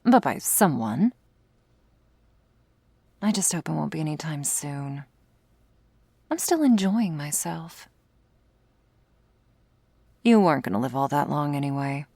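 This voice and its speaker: Low, gravelly vampire woman, acted, teasing and slow. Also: dark tone, no room sound, exaggerated voice. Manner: teasing, wry, drifts into breathy whispers and sighs. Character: sardonic female vampire, predatory, teasing, secretly wistful. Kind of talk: intimate ASMR roleplay, scripted monologue to listener. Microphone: close, dry studio mic.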